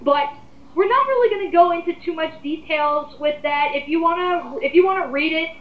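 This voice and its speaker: Young woman, high voice, blunt rambling commentary, roomy sound. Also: normal speed, dull audio. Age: 20s. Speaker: host leading a group discussion. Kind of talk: casual opinionated commentary chat.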